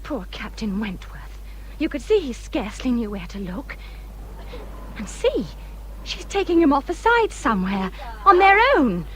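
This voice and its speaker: A woman playing a peevish, self-pitying young married English gentlewoman in a period radio drama, with a plaintive, complaining way of talking. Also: on the high side, very animated, a little slow, slight background noise, rough recording. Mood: indignant.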